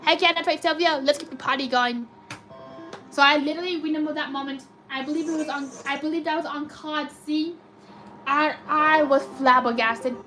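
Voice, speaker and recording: A woman with a robotic-sounding voice chatting excitedly through a live slot machine stream, reading and answering chat comments. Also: high-pitched, moderate pace, faint music behind. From United States. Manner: chatty, excitable cheering at spins.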